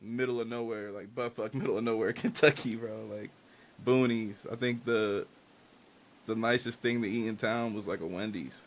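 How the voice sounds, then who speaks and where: A man's voice: fairly low pitch, fairly even intonation, normal speed. A young man whose first language is Spanish, a music artist and engineer, talking loosely about his influences in a casual interview and leaning heavily on "like" and slang. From Dominican and Haitian family. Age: young adult. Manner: loose and candid, heavy on "like" and slang.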